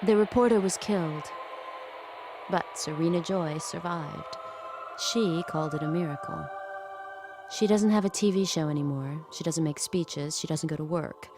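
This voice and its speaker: A woman's voice: sits low, moderate pace, natural voice. An actress playing a subdued handmaid and grieving mother, reflective when narrating and hesitant and guarded when she speaks. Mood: sombre, reflective. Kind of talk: audio drama acting with intimate first-person narration. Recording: music behind the voice.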